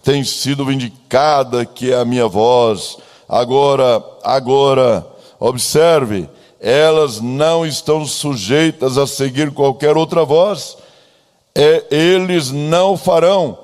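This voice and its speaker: Brazilian guy pastor preaching, slow n solemn, big church hall echo. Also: medium pitch, darkish tone. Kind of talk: church sermon with a read-out letter. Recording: long echoing hall reverb.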